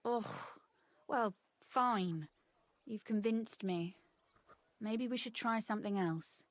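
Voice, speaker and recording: A woman's voice: medium pitch, takes it slowly, no room sound, natural voice. An actress playing a witty young noblewoman in a medieval video game, speaking in a teasing, composed way. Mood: sceptical. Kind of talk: video game dialogue acting.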